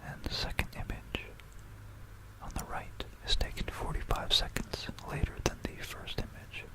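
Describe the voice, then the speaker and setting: An ASMR creator whispering about history in a hushed, rambling, musing way, close into a stereo microphone. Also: moderate pace, low-quality recording. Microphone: close stereo binaural microphone.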